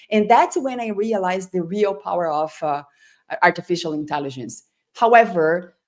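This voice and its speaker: Effusive woman, non-native English speaker. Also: mid-range voice. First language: not English. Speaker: host and customer experience leader. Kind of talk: conversational online talk show.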